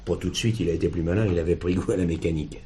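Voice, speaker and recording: An actor playing a plainspoken farmer in a drama, talking in a colloquial, rambling way. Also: male voice, deep voice, fairly even intonation, rapid-fire, dark tone, slight background noise, lightly acted. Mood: matter-of-fact, reflective. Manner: colloquial, rambling, punctuated with verbal tags. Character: weary farmer talking about his estranged brother.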